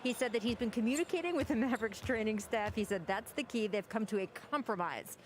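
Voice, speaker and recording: A woman's voice: fairly high pitch, fast talker. A woman giving a sideline report during a basketball broadcast. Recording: noisy replayed arena broadcast audio.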